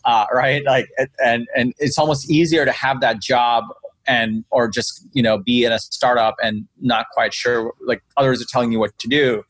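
A man with a New York accent speaks as a podcast guest, energetic and emphatic. He often acts out other people's reactions as he makes his point. His voice sits in a middle register, recorded close and dry. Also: medium pitch, normal speed, dry recording. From Bangladesh. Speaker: guest entrepreneur. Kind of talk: conversational podcast interview.

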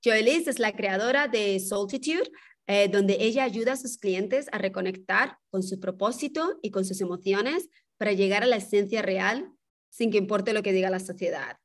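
A woman's voice: medium pitch, normal speed. Woman, podcast host, enthusiastic, bilingual, friendly introductions. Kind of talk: interview hosting.

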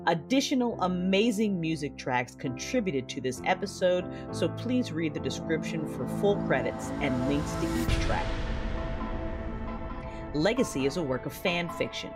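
A woman narrating a fan audio drama in the first person, her delivery soft and often hushed. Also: deep voice, lively intonation, unhurried, over loud music. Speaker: writer, director and narrator.

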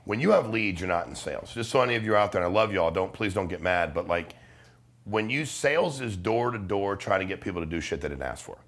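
A man's voice: low voice, expressive, quick pace, a bit bright. A middle-aged man talking casually and persuasively as an interview guest, blunt and emphatic, with slang and swearing. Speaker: podcast guest, life insurance salesman.

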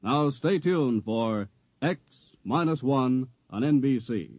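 A man's voice: mid-range voice, lively intonation, takes it slowly, no echo. A man reading a scripted 1950s radio promo as a network announcer, with polished, upbeat salesmanship. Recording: dry, muffled old radio recording.

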